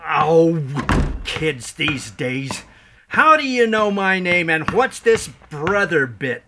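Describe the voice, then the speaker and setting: Guy playing grumpy pompous middle-aged boss in a stage play, swoops up and down, slow and exasperated, bit of noise on it. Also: on the high side, very animated, exaggerated voice. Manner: grumbling, indignant, muttering, sarcastic. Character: grouchy, pompous middle-aged male business executive, weary and exasperated. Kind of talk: theatrical stage-play monologue and dialogue.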